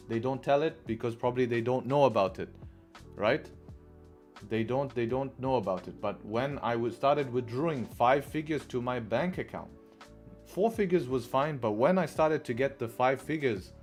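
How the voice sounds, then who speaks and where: A man from England hosting a live trading stream, chatting casually, repeating phrases and answering questions from chat. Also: mid-range voice. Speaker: livestream trading host.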